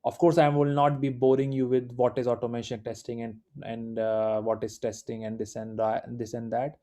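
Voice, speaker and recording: A man's voice: medium pitch, little pitch movement, normal speed, dark tone. A man with an Indian accent, native Hindi speaker, giving a live class in an informal, encouraging way with many fillers. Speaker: instructor. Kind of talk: live online class lecture.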